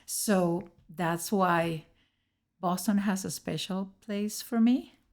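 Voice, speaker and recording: A middle-aged Venezuelan woman speaks as an interview guest with a creaky edge to her voice. She has a Spanish accent and tells her story earnestly, in halting learned English. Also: medium pitch, a little mellow. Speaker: podcast guest, educator.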